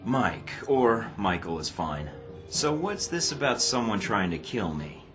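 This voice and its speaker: Very deep-voiced, guarded male spy. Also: big pitch swings, unhurried. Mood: suspicious, dry. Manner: terse, sardonic, controlled. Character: cool, guarded, dry-witted male spy operative. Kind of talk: video game dramatic dialogue. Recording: music playing behind the voice.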